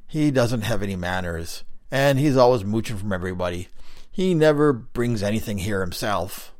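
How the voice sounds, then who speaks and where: Loud scolding stone face, male, fair-minded, drawn-out words, as a man puts him on. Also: lowish voice, expressive, lightly acted. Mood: chiding.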